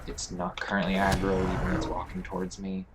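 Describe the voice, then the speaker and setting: A man hosting a let's play, talking casually through a game with a hoarse, congested voice and many fillers. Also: low voice, heavy background noise. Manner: relaxed, halting, thinking aloud with many fillers. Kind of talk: casual gameplay commentary.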